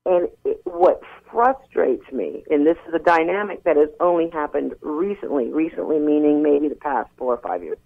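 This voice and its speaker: A woman's voice: deep voice, normal speed, creaky, hardly any pauses. A woman rock singer being interviewed, talking earnestly and frankly with many restarts. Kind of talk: candid phone interview. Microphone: telephone. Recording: tinny phone line.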